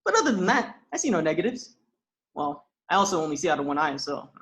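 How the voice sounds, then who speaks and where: A woman's voice: fairly low pitch, big pitch swings, quick pace, fairly bright tone. A woman voicing a goofy, oblivious young man with a nonchalant, rambling manner, reading from a script. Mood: cheerfully oblivious. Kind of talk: script read-through.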